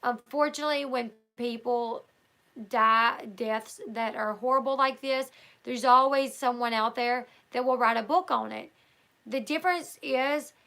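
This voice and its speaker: A hoarse woman hosting a live stream, reading a book aloud and talking loosely with her chat. Her voice sits a little high and has a gravelly fry to it, and her tone is bright with an edge. She thinks out loud as she goes, with plenty of ums and restarts. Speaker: livestream host.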